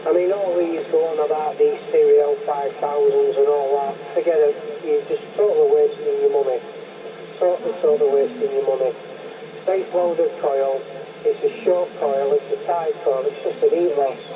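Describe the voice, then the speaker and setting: A man's voice: fairly high pitch, steady tone, normal speed. An older man with a Northern English accent chats casually as a radio hobbyist, emphatic and rambling, often repeating himself. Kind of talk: casual chat over CB radio. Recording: muffled CB radio transmission with loud noise.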